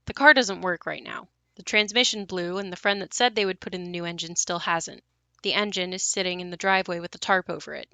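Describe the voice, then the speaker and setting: A woman's voice: lowish voice, brisk, gravelly, sharp tone, no room sound. An actress playing a young woman who recalls her haunted family home in a confiding, wry, matter-of-fact monologue for an audio play. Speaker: narrator and lead of an audio play. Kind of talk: first-person dramatic monologue.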